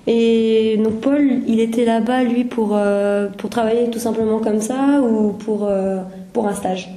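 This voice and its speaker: A woman's voice: fairly high pitch, steady tone, unhurried, mellow, reverberant, low-quality recording. A young woman in her twenties, a student, asking polite questions in casual conversation practice.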